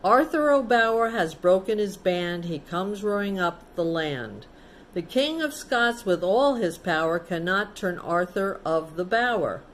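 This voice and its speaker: A cheeky young storybook squirrel, played by a woman reader, chants silly riddle rhymes in a teasing sing-song. The pace is slow and deliberate, with faint noise behind the voice. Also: medium pitch, expressive, close to an everyday voice. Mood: boastful, teasing. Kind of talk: storybook character reading.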